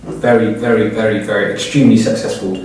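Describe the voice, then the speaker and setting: A man's voice: lowish voice, steady tone, fast, darkish tone. A man speaking earnestly in an opinionated group discussion, stressing points by repeating phrases. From Eritrea. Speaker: discussion panel member. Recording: echoey room, distant mic, background noise.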